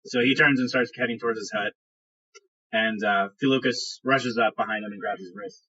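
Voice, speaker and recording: A man narrating a tabletop game as game master, improvising descriptions in a halting storytelling manner. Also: mid-range voice, steady tone, bright, forceful tone, husky, muffled recording.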